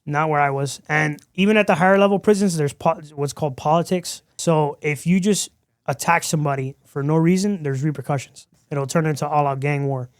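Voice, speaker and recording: A man with a smooth, clear voice, a touch higher than most men, tells his story as a podcast guest and acts out the lines he quotes. He is from Miami. The sound is dry and close, with no room echo. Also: a little fast. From Miami, Florida. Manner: animated storyteller who acts out quoted dialogue. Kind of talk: storytelling in a casual interview.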